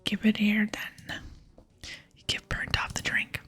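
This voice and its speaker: A woman voicing a gruff, hard-drinking male dwarf from a game in a breathy whisper. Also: unhurried, fairly bright tone, soft background music, natural voice. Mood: cagey, thirsty. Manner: cagey, drink-loving. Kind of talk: whispered ASMR reading of game dialogue.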